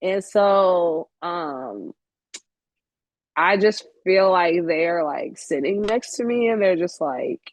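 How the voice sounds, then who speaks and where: A woman in her late twenties talking in a relaxed, conversational interview. Her voice sits a little low, and she speaks bluntly and candidly, swearing freely and letting laughs slip in. The sound is dry and close. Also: slow, no room sound. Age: 20s. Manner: candid, blunt and profane, laughs often mid-sentence. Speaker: podcast guest, photographer. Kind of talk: casual interview chat.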